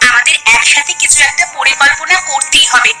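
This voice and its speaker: Woman as a high-voiced earnest village wife. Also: a little fast, music in the background, close to an everyday voice. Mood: resolute. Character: concerned, resolute young village wife. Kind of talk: Bengali animated story dialogue.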